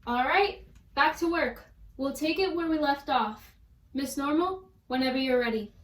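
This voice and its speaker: High female voice, businesslike director acted by a woman, radio drama, echoey and far from the mic. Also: normal speed, natural voice. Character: brisk no-nonsense radio rehearsal director. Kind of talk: 1940s radio play acting. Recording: roomy, distant microphone.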